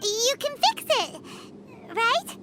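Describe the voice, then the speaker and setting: Squeaky, very high childlike fairy. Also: female voice, big pitch swings, slow pace, noisy background, exaggerated voice. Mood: questioning. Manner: exclamatory, eager. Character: small, chirpy, childlike female fairy companion. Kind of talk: animated video game voice acting.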